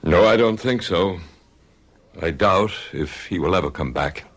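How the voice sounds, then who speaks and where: An actor playing a calm, inquisitive doctor in a radio drama, speaking in a measured, reassuring way. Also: male voice, low-pitched, exaggerated voice. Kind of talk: 1970s radio drama acting.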